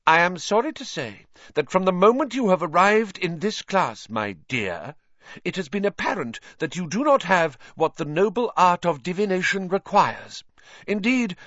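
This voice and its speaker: A man's voice: on the high side, no echo, lo-fi sound, voice pushed up, exaggerated voice. A man doing a dreamy, theatrical seer woman. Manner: breathy, dreamy, murmuring. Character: airy, theatrical female seer teacher, older.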